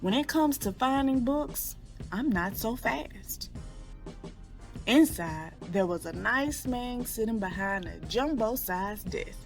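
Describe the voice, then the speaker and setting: A woman reading a picture book aloud to children in an expressive storytelling style, drawing out key words. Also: fairly high pitch, takes it slowly, faint music behind.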